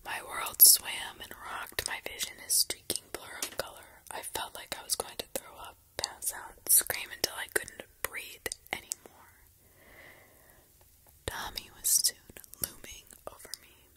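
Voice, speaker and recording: A woman narrating a horror story entirely in a steady, hushed whisper. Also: unhurried.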